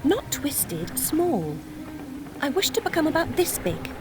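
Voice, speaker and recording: A woman playing a composed, sharp-tongued, morose young woman in a video game, speaking in prim, formal, dry phrases. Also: high voice, big pitch swings, slow pace, music in the background, exaggerated voice. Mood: prim, matter-of-fact. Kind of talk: video game character acting.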